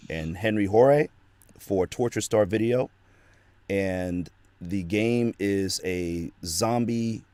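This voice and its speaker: Deep, dark-voiced man, casual talk. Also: smooth, no room sound. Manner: rambling, plugging a game with many fillers. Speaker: guest, voice actor. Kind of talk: casual chat.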